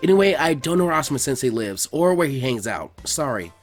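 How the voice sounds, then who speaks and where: A man's voice: mid-range voice, big pitch swings, quick pace, soft background music, voice pushed down. A man voicing a sassy, bossy teenage ninja girl with a dry, exasperated manner in a story reading.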